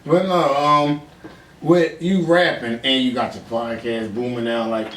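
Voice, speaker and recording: A man's voice: mid-range voice, steady tone, unhurried, a bit bright. A man with a Philadelphia accent hosting a casual podcast interview in informal, slangy talk. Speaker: podcast host. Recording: roomy, distant mic, faint noise.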